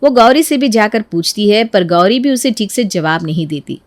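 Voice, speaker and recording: A woman's voice: medium pitch, animated, moderate pace, no room sound. Woman narrating a story, close dry sound. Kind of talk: expressive scripted story narration.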